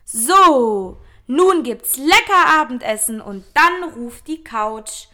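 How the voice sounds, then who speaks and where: A woman's voice: high-pitched, lively intonation, slow pace, bright, forceful tone, exaggerated voice. A woman playing a chirpy, upbeat social media poster in a radio collage, gushing. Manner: gushing, reads smileys aloud.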